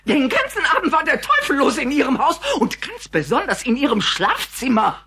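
A woman's voice: high voice, big pitch swings, moderate pace, sharp tone, exaggerated voice. A woman playing a hysterical, nosy middle-aged neighbour in a German radio play, overwrought and dramatic. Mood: distraught.

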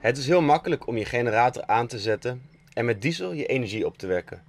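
A young Dutch man, a sailing skipper, reading a calm, matter-of-fact scripted voice-over. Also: medium pitch, fast. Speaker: skipper narrating a sailing documentary. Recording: faint music under the voice.

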